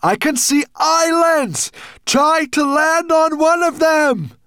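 A man voicing an earnest, curious and excitable young boy in a storybook. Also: medium pitch, fairly quick, creaky, breathy edge, no echo, voice pushed up, exaggerated voice. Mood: urgent shouting.

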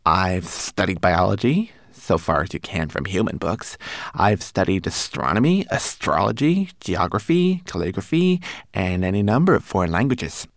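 A man's voice: big pitch swings, moderate pace, creaky, no room sound, clean studio sound, exaggerated voice. A man voicing a tiny, fussy male homunculus servant who is proud and learned, with precise, formal speech. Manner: high, precise, eager and formal.

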